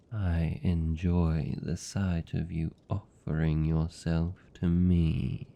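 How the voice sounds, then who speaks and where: A man with a British accent playing a seductive, possessive vampire captor in an intimate ASMR roleplay, hushed and deliberate, tender yet menacing. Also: highs cut off, exaggerated voice. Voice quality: gravelly, dark, low. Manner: slow, hushed, deliberate, teasing.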